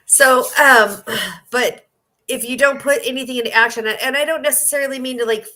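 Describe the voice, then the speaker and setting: A woman in her fifties giving a casual spoken card reading, repeating phrases for emphasis and pausing as she goes. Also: high voice, sharp tone. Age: 50s. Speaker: tarot card reader.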